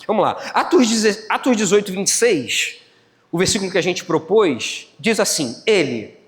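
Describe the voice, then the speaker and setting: A man's voice: sits high, very animated, moderate pace, a bit bright. A man with a Brazilian Portuguese accent preaching a church sermon as a pastor, teaching step by step, repeating phrases and putting check-in questions to his listeners. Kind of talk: church sermon with Bible exposition. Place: church hall, live service. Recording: long hall reverb.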